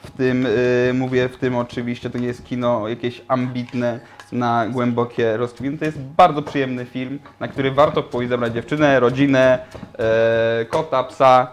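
A young man in his twenties, an actor and guest on a cooking show, talking in a lively, enthusiastic way in a small home kitchen. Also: mid-range voice, normal speed. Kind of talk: casual chat. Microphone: lapel mic.

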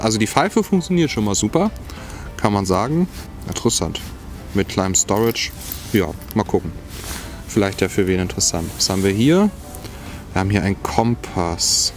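A man casually reviewing a cheap survival kit outdoors, musing aloud as he tries each item. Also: fairly low pitch. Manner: musing, talks while handling items. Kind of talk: casual hands-on product review. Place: outdoors on grass by a log. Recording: music playing underneath.

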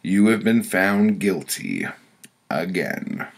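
A merciless woman judge from a dark story, played by a male narrator, hands down her sentences in a commanding manner. The voice is pushed up in pitch and keeps a gravelly edge. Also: huge pitch swings, slow pace, slight background noise, exaggerated voice. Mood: stern. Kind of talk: storytelling character voice.

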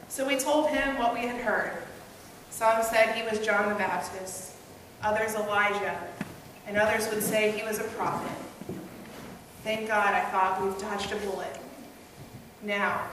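Woman preaching in an echoey church. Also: mid-range voice. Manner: storytelling, earnest and conversational. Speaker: pastor. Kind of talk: first-person dramatic monologue sermon as Peter. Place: church sanctuary, at a pulpit. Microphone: gooseneck pulpit mic, far camera. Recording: echoey hall, distant and noisy.

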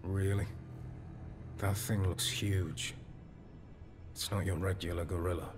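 A man's voice: sits low, fairly even intonation, takes it slowly, over music. A man acting in a video game as a middle-aged, hard-bitten mercenary hunter, blunt, sardonic and skeptical. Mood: wary interest.

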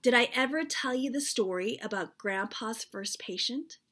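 Woman reading as a coaxing, husky storybook mom. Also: on the high side, normal speed, sharp tone. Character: gentle, knowing mother telling family lore. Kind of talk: storybook dialogue.

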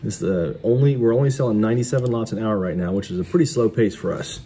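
A man's voice: on the low side, fast talker, dark tone. A middle-aged American man hosting as an auctioneer, giving casual commentary on the lots as he sells them. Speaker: auctioneer and vlog host. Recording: clear background noise.

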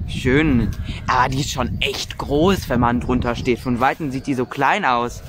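A man's voice: medium pitch, unhurried. A man narrating casually from behind his phone camera as he walks an open hilltop wind farm, musing aloud with frequent fillers. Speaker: wind turbine vlogger. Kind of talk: casual spoken commentary while filming. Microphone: phone camera mic, speaker behind the camera. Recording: outdoor wind and ambient noise.

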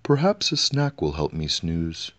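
A man's voice: low-pitched, big pitch swings, a bit bright, exaggerated voice. A man voicing a weary, plaintive bear king who cannot sleep, in a children's storybook. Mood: weary, complaining.

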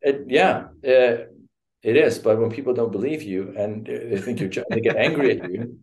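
A man's voice: medium pitch, moderate pace, darkish tone. A middle-aged man with a Dutch accent, a guest speaking on a video call, hesitant with many fillers and restarts. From Netherlands. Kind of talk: casual interview. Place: home room.

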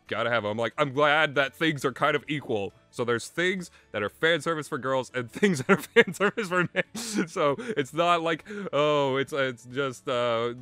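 A young man giving a casual, rambling game review in a halting way, often chuckling as he goes. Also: fairly high pitch, sharp tone, dry recording, faint music behind. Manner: hesitant, halting, often chuckling mid-sentence.

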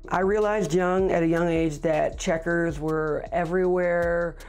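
A middle-aged man with a high voice, speaking matter-of-factly in an interview, with frequent fillers. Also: fairly even intonation, a little slow, darkish tone, smooth, faint music behind. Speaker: record holder. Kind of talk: interview monologue. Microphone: lapel.

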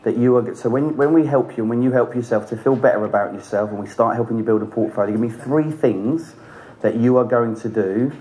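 Fast-talking man coaching on a stage. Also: medium pitch, dark tone, low-quality recording. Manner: fast, pushy, encouraging questioning. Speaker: property training speaker on stage. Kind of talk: live seminar coaching an audience member. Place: large hotel conference hall with audience.

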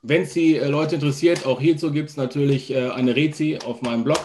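Male interviewer, slightly high voice, casual video-call chat. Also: fairly even intonation, a little fast, darkish tone, slight background noise. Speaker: interviewer, review blogger. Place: home or office room, video call.

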